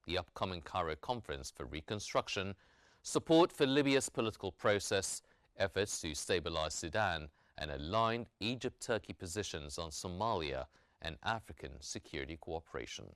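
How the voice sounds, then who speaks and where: Deep-voiced man reading the news. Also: animated, a bit bright, dry recording, studio quality. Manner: formal, measured newsreader delivery. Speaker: news anchor. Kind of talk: scripted news bulletin reading.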